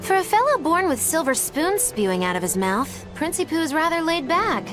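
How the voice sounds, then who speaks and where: A woman's voice: high voice, big pitch swings, moderate pace. A woman voicing a flippant, theatrical, teasing witch in a video game, over background music. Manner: teasing, florid wording.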